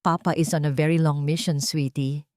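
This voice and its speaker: A woman voicing a gentle young mother with a Filipino accent, calmly reassuring her small children. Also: low voice, moderate pace, dry recording, clean studio sound, natural voice. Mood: forced calm. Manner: calm, reassuring, mixing English with Tagalog.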